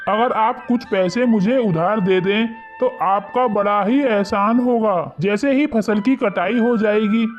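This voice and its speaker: A man playing an earnest, respectful young poor farmer in an animated moral story. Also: high-pitched. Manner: respectful, pleading. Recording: muffled lo-fi audio under loud music.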